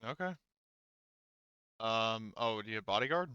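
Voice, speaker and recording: A man with a fairly low, crisp voice runs a tabletop game in a casual way. He talks haltingly, working things out aloud. The sound is dry and slightly dulled. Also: animated, bright, forceful tone, no room sound, highs cut off. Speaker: game master.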